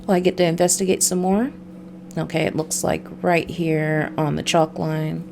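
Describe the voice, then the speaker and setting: A woman with a low voice streams a story game, slipping between reading aloud and casual remarks. Music plays behind her. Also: flat delivery, normal speed, creaky. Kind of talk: reading a visual novel aloud with casual commentary.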